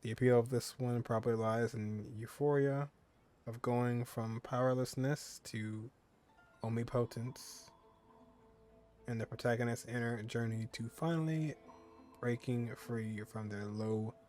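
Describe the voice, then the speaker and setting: Casting: male game streamer, mid-pitched, casual and offhand, faint game music behind. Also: fairly even intonation, a little slow, darkish tone. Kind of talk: casual gameplay commentary, reading on-screen text.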